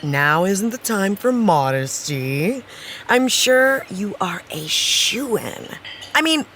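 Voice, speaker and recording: A woman's voice: mid-range voice, very animated, slow, a bit bright, a little hiss or hum. A woman playing a wry, candid actress and supportive friend in an audio drama, with dry, teasing, naturalistic delivery. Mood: frank, sardonic.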